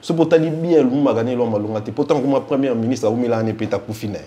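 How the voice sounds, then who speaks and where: A man's voice: medium pitch, fast talker, mellow, highs cut off. A man with a Congolese accent speaking as a casual interview guest, emphatic and given to repeating himself. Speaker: interview guest, music fan spokesman. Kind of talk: casual interview, mixing two languages.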